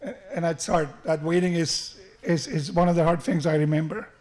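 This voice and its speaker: Middle-aged South African man, slightly high voice, self-deprecating wedding speech with restarts. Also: moderate pace, darkish tone. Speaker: father of the groom giving a wedding speech. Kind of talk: wedding toast. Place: wedding reception hall. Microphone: handheld microphone through the sound system.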